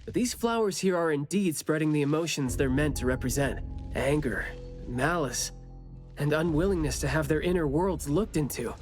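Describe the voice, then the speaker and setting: A thoughtful young male investigator from a video game, played by a man. His voice is fairly high and expressive, with a reflective way of talking and clear music behind. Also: normal speed, close to an everyday voice. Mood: pensive.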